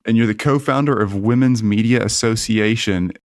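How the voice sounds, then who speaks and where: A man's voice: fairly low pitch, normal speed, darkish tone, no room sound, studio quality. A man hosting a podcast, chatting informally as he puts interview questions to a guest. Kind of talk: casual conversational interview.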